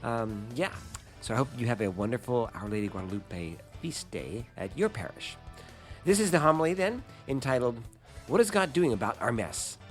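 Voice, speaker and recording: A man's voice: mid-range voice, animated, moderate pace, soft background music. A man introducing his podcast episode in a casual, chatty way, sounding nasal from a cold. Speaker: podcast host.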